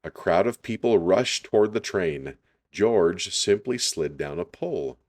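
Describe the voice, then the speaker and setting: A man reading a children's story aloud in an unhurried, deliberate storytelling style. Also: low-pitched, lively intonation, a little mellow.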